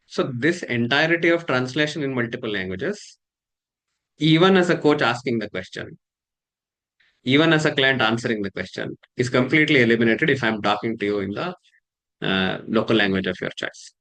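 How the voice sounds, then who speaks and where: Indian English male coach, mid pitch, thoughtful, halting delivery with fillers. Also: animated, a bit bright, low-quality recording. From Karnataka, India. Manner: measured, halting, many fillers and restarts. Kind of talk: casual talk to camera.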